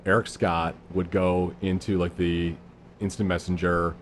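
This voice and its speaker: A man in his thirties with a low voice, a guest in a casual podcast chat, talking in a laid-back, self-deprecating, rambling way. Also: flat delivery, a little slow, a little hiss or hum. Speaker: improv guest.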